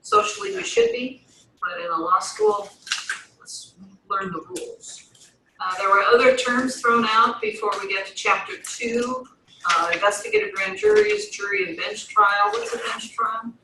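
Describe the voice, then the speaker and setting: A woman's voice: a little high, big pitch swings, unhurried, sharp tone. A woman teaching a law class, lecturing and quizzing students one after another. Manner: questions students in turn. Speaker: law instructor. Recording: echoey room, distant mic, faint noise.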